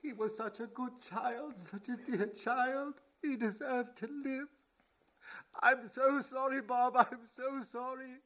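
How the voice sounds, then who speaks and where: A man's voice: very high-pitched, steady tone, slow pace, bright, forceful tone, heavily acted. An actor playing a sorrowful, remorseful man in a radio play, speaking in a solemn and mournful way. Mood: mournful regret. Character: remorseful Scrooge, sorrowful man pleading with a spectre. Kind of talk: 1930s-style radio play acting.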